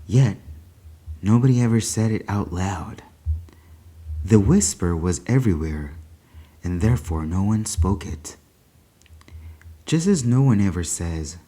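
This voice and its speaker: Male story reader, low and husky, measured dramatic delivery for literary fiction. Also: warm tone. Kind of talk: scripted short story read aloud.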